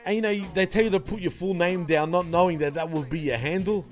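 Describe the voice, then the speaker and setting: A man's voice: high-pitched, fast talker, soft background music. Laid-back Australian man, swearing and laughing. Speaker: podcast co-host. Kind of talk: casual late-night wrestling podcast chat.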